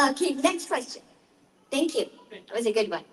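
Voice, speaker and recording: A chatty woman speaks casually on a convention panel, adding light jokes as she goes. She is picked up by a mic set some distance away in the room. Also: high voice. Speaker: panelist voice actor.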